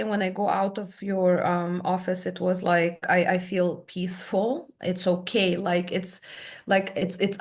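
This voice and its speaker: A woman, a therapy client describing her experience in halting, searching speech full of restarts. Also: medium pitch. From Serbia. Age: 30s. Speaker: hypnotherapy client guest. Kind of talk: personal testimony in conversation.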